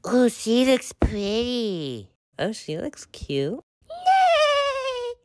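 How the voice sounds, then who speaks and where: A woman voicing a whiny, impatient young girl in a pretend-play story, excitable and demanding. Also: a little high, extremely slow, no echo, clean studio sound, heavily acted. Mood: excited. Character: whiny, impatient young girl, horse-loving princess type.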